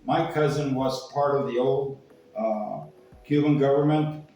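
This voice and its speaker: A man's voice: mid-range voice, fairly even intonation, unhurried, mellow. An older man from Cuba tells personal stories in a casual, rambling, salty way, acting out what people said. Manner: rambling, salty, acts out quoted remarks. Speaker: speaker telling personal stories. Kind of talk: casual anecdotal talk. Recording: echoey room, distant mic, faint music.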